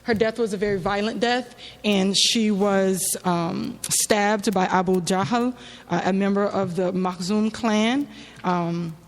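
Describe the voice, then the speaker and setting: Reverberant hall recording, woman, mid-pitched voice, religious address, halting and reflective delivery, frequent pauses. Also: steady tone. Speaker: speaker giving a religious address. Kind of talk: religious talk, semi-prepared storytelling.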